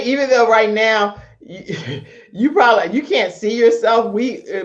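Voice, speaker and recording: Chatty woman hosting, slightly dull audio. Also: on the high side. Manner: chatty, expressive, informal. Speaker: talk show host. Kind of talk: casual live online talk show hosting. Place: live video stream from a studio setup. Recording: dull, lo-fi online call audio.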